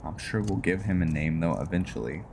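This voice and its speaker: A man giving casual gameplay commentary mixed with story narration, halting and thinking aloud as he goes. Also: deep voice, animated, gravelly, noisy background. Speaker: host of a gaming roleplay series. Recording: music behind the voice. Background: music.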